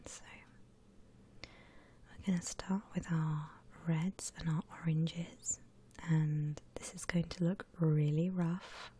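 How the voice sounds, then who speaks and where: A woman whispering breathily and gently as she hosts an unhurried ASMR art tutorial. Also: low-pitched, little pitch movement. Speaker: host of an ASMR drawing channel. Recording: faint background noise.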